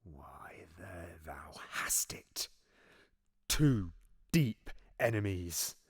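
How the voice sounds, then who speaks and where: A man's voice: low-pitched, very animated, drawn-out words, husky, full character voice. An actor playing a scheming, paranoid usurping king in a Shakespearean audio drama, swinging between menacing whispers and sudden outbursts.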